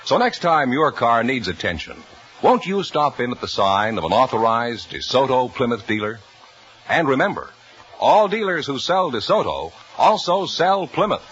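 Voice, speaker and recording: A man working as a radio announcer, reading an ad and the game rules in a crisp, booming delivery. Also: sing-song, moderate pace, fairly bright tone. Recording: dull old radio recording.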